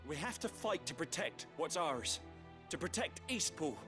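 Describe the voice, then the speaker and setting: A man's voice: a little high, sing-song, moderate pace. A man voicing a humble freed villager in a game, earnest and declaiming. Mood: earnest defiance. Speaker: video game character. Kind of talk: dramatic game voice acting. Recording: game music behind. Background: game music.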